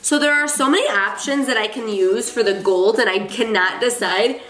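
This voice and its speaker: A woman's voice: fairly high pitch, normal speed, fairly bright tone. A young woman with a cold, sounding congested and nasal, chatting about her makeup in a gushing, casual way. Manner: chatty and gushing, with filler ums. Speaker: makeup artist and beauty vlogger. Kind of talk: casual makeup tutorial chat. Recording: echoey room with faint music.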